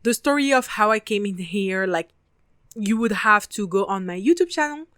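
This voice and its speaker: A woman's voice: mid-range voice, lively intonation, moderate pace, a bit bright, dry recording, clean studio sound. A talkative French woman in her twenties, hosting her own podcast in English with a French accent and native French, swearing casually as she chats. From France (Paris). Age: 20s. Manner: chatty and talkative, casual swearing, frequent laughter. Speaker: podcast host. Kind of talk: casual solo podcast monologue.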